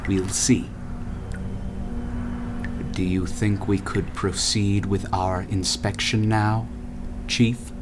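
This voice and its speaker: An actor playing an imperious, cold, grandiose city founder in a radio drama, speaking in a measured, formal, oratorical way. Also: male voice, medium pitch. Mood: stern. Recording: steady background noise over voice.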